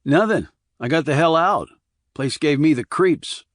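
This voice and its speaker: A man voicing a weary, rough-edged small-town man in an audiobook, talking in clipped, colloquial, blunt phrases. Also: fairly high pitch, lively intonation, unhurried, no echo, clean studio sound, voice pushed up. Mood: uneasy, dismissive.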